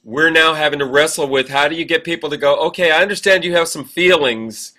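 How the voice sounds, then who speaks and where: A man with a bright, forward voice, pitched a little high, co-hosts a relaxed discussion. He reflects at some length, stressing key words and building his point through repetition. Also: fast talker. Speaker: podcast co-host, military veteran. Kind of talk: casual, reflective interview conversation.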